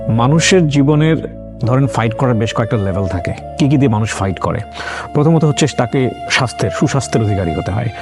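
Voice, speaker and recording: A man's voice: medium pitch, expressive, fast. A man hosting a life-story interview, reflecting at length on the guest's story and drawing moral lessons, mixing English words into his talk. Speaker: host. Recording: background music under speech.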